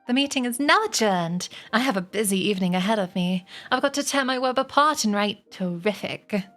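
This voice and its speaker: A woman voicing a clever, kindly female spider, brisk and articulate, in a storybook. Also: medium pitch, lively intonation, a bit bright, soft background music, lightly acted. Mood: businesslike.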